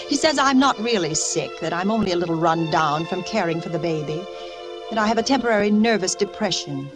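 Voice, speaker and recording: An actress playing a fragile, nervous young wife confiding in a secret journal, in intense, dramatic 1940s radio style. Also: female voice, medium pitch, natural voice, poor audio. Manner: intense, brooding, dramatic delivery. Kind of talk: 1940s radio acting, confessional narration. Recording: old radio recording with music behind.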